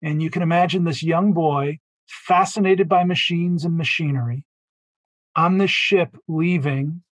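A man's voice: fairly high pitch, steady tone, measured pace, no echo, rough recording. A man, a guest author and marketing executive, answering interview questions with fluent, detailed storytelling and a few fillers.

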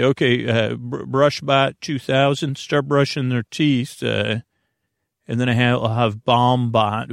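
A man with a gravelly, fry-laden voice records very close and dry. He rambles through an unscripted bedtime podcast introduction, circling back on himself and restarting his sentences as he goes. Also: medium pitch, dry recording. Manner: voices imagined listener objections and answers them. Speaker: podcast host.

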